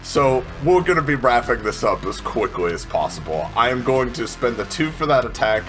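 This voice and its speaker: A man's voice: mid-range voice, sing-song, moderate pace. A man talking casually as a player in a tabletop game. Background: music.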